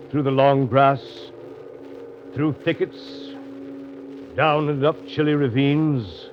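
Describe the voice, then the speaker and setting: An actor playing a riverboat captain recounting a grim journey in brooding, deliberate 1930s radio drama narration. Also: male voice, mid-range voice, lightly acted. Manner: brooding, deliberate, literary storytelling. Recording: dull, lo-fi 1930s radio recording.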